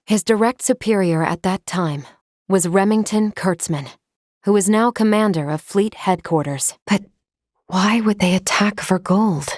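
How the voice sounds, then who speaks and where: A woman playing a logical, composed female android officer in a video game, speaking formally and precisely in a measured, unemotional way. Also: medium pitch, a little mellow, dry recording, studio quality, natural voice. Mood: calm, analytical.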